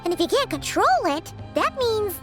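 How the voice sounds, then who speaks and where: Paimon, a squeaky excitable fairy sidekick. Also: female voice, normal speed. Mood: chatty. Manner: chirpy, refers to herself in third person. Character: tiny excitable female fairy companion. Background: game music.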